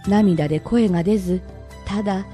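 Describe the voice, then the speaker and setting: A woman with a mellow, soft-edged voice narrates a radio drama like a teller of old tales. Faint music plays behind her. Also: medium pitch, normal speed. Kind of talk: scripted radio drama narration in polite old-tale storyteller manner.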